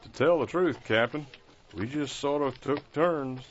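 A man's voice: medium pitch, unhurried, close to an everyday voice. A man voicing a blind caretaker who is folksy, patient and kind. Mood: wry. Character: blind male caretaker, gentle and wry. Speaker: nurse to wounded soldiers. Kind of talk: audio drama acting. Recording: muffled lo-fi recording.

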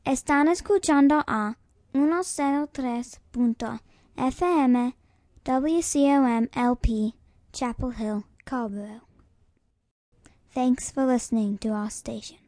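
Female station announcer, high voice, very measured pace, dry recording. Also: darkish tone, dry recording. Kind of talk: scripted station identification.

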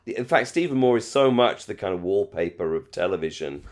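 A man's voice: low-pitched, animated, fairly quick. A British man in casual discussion as a podcast co-host, talking in a rambling, enthusiastic way with plenty of hedging. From Britain.

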